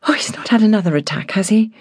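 An anxious young gentlewoman taking charge , played by a woman reader in a novel. She is brisk and well-spoken with worry in her voice, recorded very dry and close. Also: mid-range voice, expressive, no room sound, voice pushed up, close to an everyday voice. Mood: alarmed.

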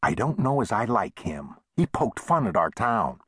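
A man's voice: sing-song, moderate pace, slightly rough, no echo, clean studio sound. A man voicing a touchy, gloomy and indignant plump storekeeper, complaining in a western audiobook. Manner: heated, complaining, raised pitch.

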